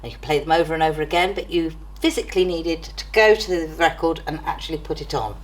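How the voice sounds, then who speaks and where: Low-voiced older woman being interviewed. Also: quick pace. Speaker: interviewee from a college. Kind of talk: casual interview answers, reminiscing.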